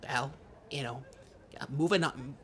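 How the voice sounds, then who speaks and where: A performer playing a needy, wounded, childlike podcast host, talking in a halting, earnest, hesitant way in improvised comedy. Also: medium pitch, close to an everyday voice. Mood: flustered. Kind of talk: improvised deadpan comedy talk. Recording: noticeable background noise, lobby ambience.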